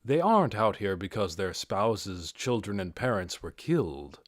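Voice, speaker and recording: Guy doing an armored undead overlord, deep and measured, calm but brooding, audiobook style. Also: no echo, studio quality. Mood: composed, commanding.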